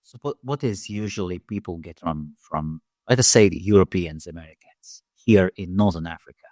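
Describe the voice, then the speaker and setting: A man's voice: sits low, normal speed, a little mellow, no echo, studio quality. A man interviewing a guest in a casual, conversational way.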